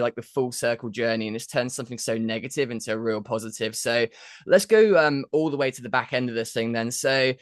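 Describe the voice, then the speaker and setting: A man's voice: mid-range voice, fairly bright tone, dry recording, clean studio sound. A young man hosting a podcast, enthusiastic and rambling in his questions. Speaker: podcast host. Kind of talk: upbeat interview hosting.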